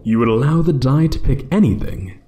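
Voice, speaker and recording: A man voicing an ancient, playful yet menacing dragon in a fantasy ASMR roleplay, speaking theatrically and condescendingly, sometimes hushed. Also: mid-range voice, brisk, natural voice. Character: ancient male dragon, playful, menacing hoard guardian toying with intruder.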